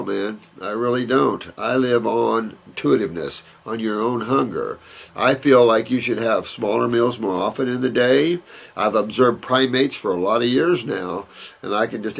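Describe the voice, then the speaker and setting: Older guy with a gravelly raspy voice, rambling through health questions, lots of uh and repeats. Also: mid-range voice. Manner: rambling, repeats words, many fillers. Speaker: health Q&A host answering viewer questions. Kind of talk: casual Q&A, reading questions aloud and answering.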